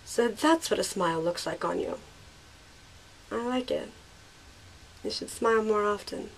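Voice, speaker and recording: A woman's voice: mid-range voice, a little slow, low-quality recording. A woman voicing a guarded but kind young woman, tentative and sincere, in an amateur audio drama. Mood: fond. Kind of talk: fan audio drama dialogue.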